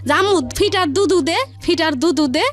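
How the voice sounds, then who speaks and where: A woman's voice: extremely high pitch, moderate pace. A woman with a colloquial Bangladeshi Bengali accent telling her personal story as a radio interview guest, in a rambling, repetitive way. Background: music.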